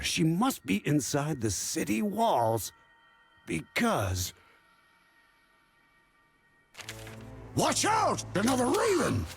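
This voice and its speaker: An actor playing a gruff, wary townsman in a fantasy game, husky and gravelly. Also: fairly high pitch, exaggerated voice. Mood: alarmed. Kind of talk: fantasy game cutscene acting.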